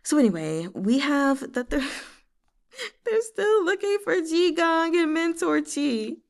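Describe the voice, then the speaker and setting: A woman's voice: high-pitched, slow. A woman hosting a book read-along, talking casually and expressively and drifting into asides. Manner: expressive, digressive, giggly asides. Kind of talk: casual chatty book discussion.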